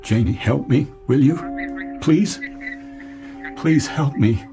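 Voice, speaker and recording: A man's voice: mid-range voice, slow pace, vocal fry, over music. An actor playing a weary, desperate businessman in an audio drama, with a hesitant, strained, pleading manner.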